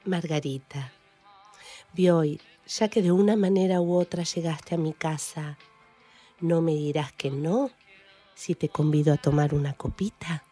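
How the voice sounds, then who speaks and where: A woman's voice: low voice, fairly even intonation, a little slow, mellow, soft background music. A woman with an Argentine accent playing a coy, flirtatious, enticing neighbour in a radio play. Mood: coy, inviting.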